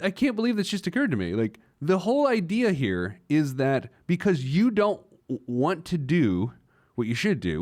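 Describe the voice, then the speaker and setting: A man's voice: mid-range voice, a little mellow, dry recording, studio quality. A man hosting a personal finance radio show off the cuff, emphatic and often answering his own rhetorical questions. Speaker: radio host, financial planner.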